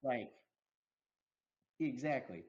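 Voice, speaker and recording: Animated man in casual movie chat. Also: mid-range voice, very slow, highs cut off. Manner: animated, laughs often, acts out quotes. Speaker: podcast co-host.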